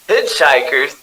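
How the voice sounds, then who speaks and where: A gas station attendant in a radio drama. His voice is notably high and bright. He is chatty and folksy, with a scoffing, sardonic edge. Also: full character voice, low-quality recording.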